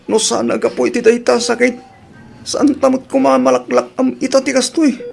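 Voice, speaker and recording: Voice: mid-range voice, little pitch movement, moderate pace, creaky, darkish tone. An actor playing a bitter, despairing sick young boy in a radio drama, with a resentful, plaintive delivery. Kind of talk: tearful radio drama acting. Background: music.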